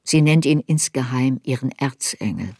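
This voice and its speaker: A woman's voice: rumbling low, lively intonation, moderate pace, darkish tone, a little hiss or hum. A woman playing a reflective narrator who looks back on a girl's story in a literary radio play. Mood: sober, intense.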